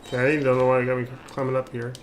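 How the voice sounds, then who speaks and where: A man's voice: mid-range voice, completely flat delivery, fast. A man doing casual gameplay commentary as a streamer, rambling and thinking aloud. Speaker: game streamer. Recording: clear background noise.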